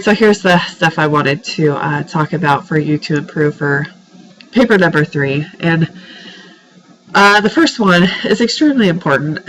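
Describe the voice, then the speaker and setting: A woman's voice: deep voice, rough recording. A woman teacher speaks informally to her students in recorded feedback. Her voice is stuffed up with a cold. She sounds exasperated and pleading, leaning firmly on her points. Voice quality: congested, stuffed-up nose. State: has a head cold. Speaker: writing teacher.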